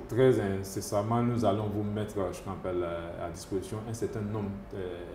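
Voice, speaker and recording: A man speaks in a low, warm voice, explaining things as a doctor in an interview. He pauses often with fillers and restarts his phrases. The sound is echoey, with faint room ambience behind him. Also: slight background noise. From Côte d'Ivoire. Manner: hesitant, many fillers and restarts. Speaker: epidemiologist doctor. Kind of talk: interview answers.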